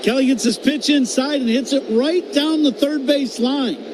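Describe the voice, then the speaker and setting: A man's voice: extremely high pitch, normal speed. A man giving conversational color commentary on live baseball radio, explaining the plays. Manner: conversational, explains each play. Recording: loud constant stadium noise behind.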